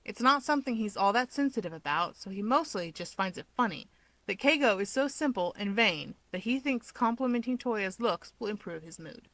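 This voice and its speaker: A woman reading a scripted story aloud as an expressive narrator. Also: medium pitch, brisk, no room sound, rough recording. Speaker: fiction narrator.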